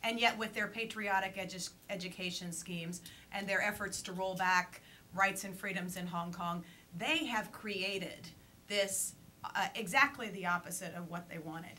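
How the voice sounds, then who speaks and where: A woman speaks on a panel in a thoughtful, halting way, filling pauses as she searches for words. Her voice is bright and forward. A faint rumble sits under the recording. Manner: thoughtful, pauses with fillers and restarts. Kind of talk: panel discussion.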